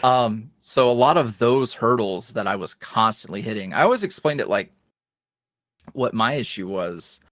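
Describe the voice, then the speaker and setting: Male guest, animated pitch, chatty and rambling, dry close sound. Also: moderate pace, no echo. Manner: rambling, lots of fillers and restarts. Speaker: guest, ASMR roleplay creator. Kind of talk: casual interview chat.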